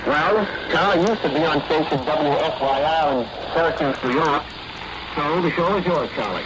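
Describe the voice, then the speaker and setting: A man's voice: a little high, normal speed. An American man speaking in a 1940s radio broadcast style from the front, over a noisy shortwave relay. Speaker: war correspondents and servicemen at the front. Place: outdoors or on location in the Pacific.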